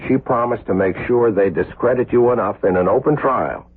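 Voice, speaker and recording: A man's voice: medium pitch, brisk, husky, lightly acted. An actor playing an intense, earnest male surgeon with emphatic delivery in an American 1970s radio drama. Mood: grim, urgent. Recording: muffled, lo-fi old radio broadcast.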